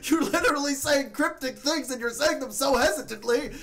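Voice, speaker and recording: A woman's voice: high-pitched, fairly quick, background noise. A woman voicing a frail, gloomy old father with rambling, hesitant complaints in an improvised cartoon fandub.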